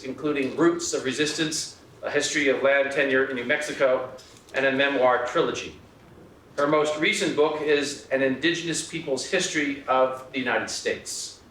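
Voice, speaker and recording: A man opens a symposium from the podium, reading a formal introduction with a crisp, bright voice. The hall echoes around him, and he sits back from the microphone. A faint noise runs under the recording. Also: mid-range voice, distant mic. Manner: reads a prepared introduction, with small slips. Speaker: symposium host introducing the keynote. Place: lecture hall.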